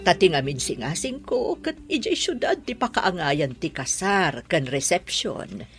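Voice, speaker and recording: A woman with an Ilocano accent acting as a gracious, well-off middle-aged mother in a radio drama, her voice husky. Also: lowish voice, very animated, unhurried, over music. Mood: friendly.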